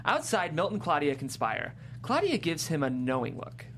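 A woman's voice: low-pitched, sing-song, normal speed, a little hiss or hum. A woman reading out screenplay stage directions as a narrator. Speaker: script narrator.

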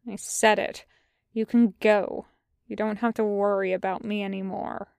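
A woman's voice: mid-range voice, level tone, moderate pace, gravelly, darkish tone, clear, resonant tone, dry recording, studio quality, close to an everyday voice. A woman voicing a bitter, guilt-ridden male soldier with clipped, volatile delivery in a dramatic story reading. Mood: subdued, dull. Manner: snapping outbursts, clipped curt replies. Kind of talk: dramatic fan fiction reading.

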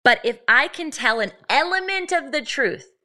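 A woman's voice: high-pitched, expressive, moderate pace, bright, forceful tone. A woman in her thirties hosting a faith podcast, telling a story earnestly and with lots of animation, restarting her sentences as she goes. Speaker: podcast host. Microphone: podcast microphone, live stream.

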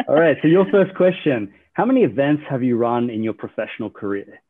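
An upbeat male podcast host walking his guests through a board game and its questions. His voice sits at an ordinary pitch and comes through a thin, phone-like line. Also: very animated, a little fast. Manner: upbeat, explains rules. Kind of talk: hosting a game-show style podcast.

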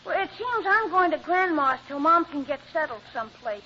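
A man's voice: very high voice, big pitch swings, moderate pace, pushed and bright, exaggerated voice. A male actor playing an earnest young son, polite and matter-of-fact, in an old radio comedy. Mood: solemn. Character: earnest young son, solemn and loyal to Mom. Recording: dull, noisy old radio recording.

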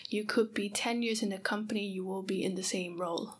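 A woman's voice: medium pitch, steady tone, fairly quick, husky. A woman interviewing a guest, asking questions in an encouraging, enthusiastic way.

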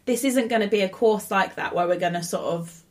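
A woman's voice: mid-range voice, fairly quick, faint music behind. A woman co-hosting a casual podcast, explaining things in a chatty, informal way. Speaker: podcast co-host.

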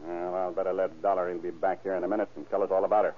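An actor playing a gruff, skeptical male police chief in an old radio crime drama. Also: deep voice, level tone, rapid-fire, slight background noise, close to an everyday voice. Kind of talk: 1930s radio acting. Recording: muffled old radio recording.

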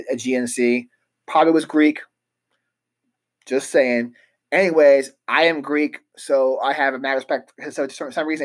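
Rambling easygoing male podcast co-host. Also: mid-range voice. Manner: rambling, easygoing, full of fillers. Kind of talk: casual chat.

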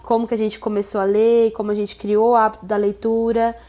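A woman's voice: a little high, little pitch movement, a little fast, warm tone. A woman around thirty, hosting a casual podcast interview and talking straight to her listeners in a chatty, upbeat way. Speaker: podcast host.

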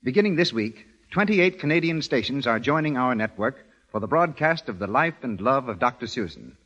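An American man announces in classic 1930s radio style, his husky voice moving through lively, varied pitch. The recording is vintage and muffled. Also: fairly quick.

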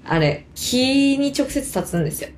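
A woman's voice: high-pitched, animated, normal speed, a little mellow, a little hiss or hum. A woman with a Kansai accent chatting casually and candidly as she tells a story on a podcast. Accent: Kansai Japanese. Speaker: podcast talker. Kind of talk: casual chat. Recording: roomy echo, music behind.

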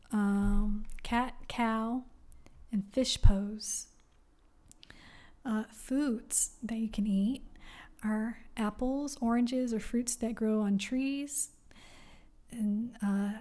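Young woman, podcast host, mellow and a bit high, unhurried and warmly encouraging. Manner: soft-spoken, gentle and encouraging, with many pauses. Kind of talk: solo wellness podcast talk.

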